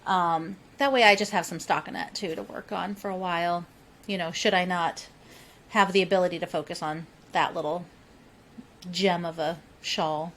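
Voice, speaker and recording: A woman's voice: fairly low pitch, steady tone, moderate pace, fairly bright tone, slight background noise. A woman co-hosting a casual chat podcast, upbeat and encouraging. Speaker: podcast co-host.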